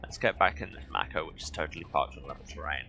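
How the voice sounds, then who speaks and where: A man's voice: a bass voice, fast talker, sharp tone, soft background music. A man giving casual video game playthrough commentary in a dry, sardonic, self-deprecating manner.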